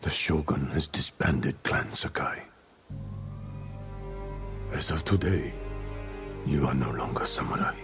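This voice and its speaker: An older samurai lord bound by honour. His voice is extremely deep, with grave, formal, measured delivery. It is stern game drama with a clear cinematic score behind. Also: over music, exaggerated voice. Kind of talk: dramatic video game cutscene acting.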